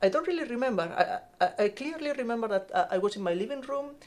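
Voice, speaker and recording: A woman speaking as a podcast guest in casual interview style, telling stories haltingly and often repeating words. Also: fairly high pitch, lively intonation, darkish tone. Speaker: podcast guest, marine scientist.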